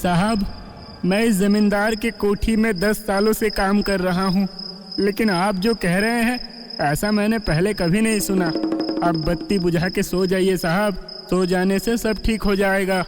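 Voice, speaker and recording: A man plays an earnest, deferential manor servant in a horror audio story. His voice is high and puzzled, trailing long reverb, with music behind it. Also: steady tone, unhurried. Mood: earnest, soothing.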